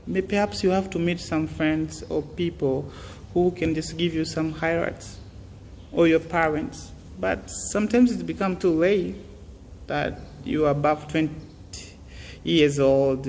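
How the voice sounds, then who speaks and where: Young man, earnest and halting; echoing room, faint hiss. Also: a little high, darkish tone. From Tanzania. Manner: earnest, halting, many fillers and restarts. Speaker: student giving advice. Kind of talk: casual motivational talk.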